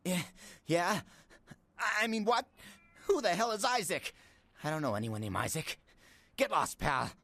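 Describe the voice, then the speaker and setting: An actor playing a frightened, evasive lowlife man in a video game, stammering nervously through his street talk. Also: animated, unhurried, gravelly, pauses often, pushed and bright, no room sound, exaggerated voice. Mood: defensive, panicky. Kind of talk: video game character dialogue.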